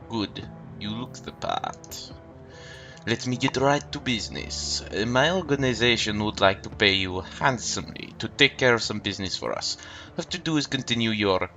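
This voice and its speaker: A man with a stuffed-up nose voicing a secretive male agent, calm and businesslike, with game music behind. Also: mid-range voice.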